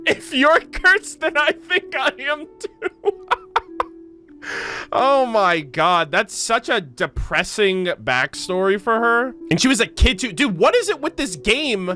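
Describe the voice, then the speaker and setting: A woman giving casual reaction commentary as a game streamer. Her voice is bright and swings widely in pitch, with expressive, slangy, sweary phrasing. Faint game music runs behind her. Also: slow pace.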